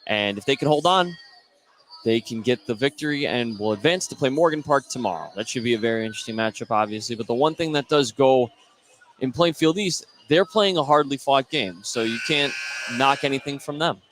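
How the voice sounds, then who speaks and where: A man's voice: medium pitch, brisk, fairly bright tone, a little hiss or hum. A man doing live play-by-play radio commentary of a basketball game.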